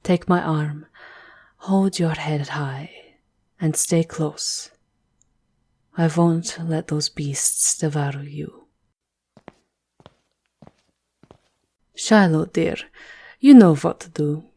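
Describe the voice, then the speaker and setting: A woman playing a vampire countess speaks slowly in a fairly low voice. She is elegant, seductive and commanding, with measured aristocratic poise, in a fantasy ASMR roleplay. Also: mellow, slightly rough, heavily acted.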